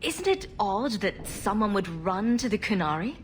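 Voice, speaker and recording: A woman playing a calm, diplomatic adventurer heroine in a video game, level and inquiring in manner. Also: mid-range voice, normal speed, slight background noise, lightly acted. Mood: calm, questioning.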